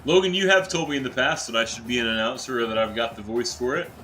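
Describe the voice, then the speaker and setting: A young adult man, a teacher by day, giving casual, excitable live-stream commentary while playing a football video game and chatting with viewers. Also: medium pitch, quick pace, faint music behind, low-quality recording. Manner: excitable, chatty, reacts to plays and reads chat aloud.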